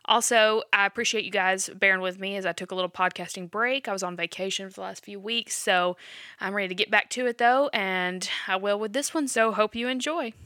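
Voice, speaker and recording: A woman's voice: medium pitch, brisk, sharp tone, no room sound, studio quality. A woman hosting a podcast, chatting in an upbeat, casual way and laughing easily. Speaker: podcast host.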